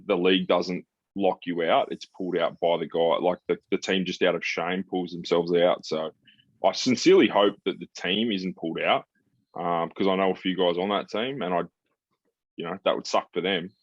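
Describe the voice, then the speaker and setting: A man's voice: deep voice, fairly even intonation, moderate pace, no room sound. A man hosting a sports podcast in casual conversation, talking in a blunt, laid-back, matey way. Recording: slightly dull, lo-fi sound.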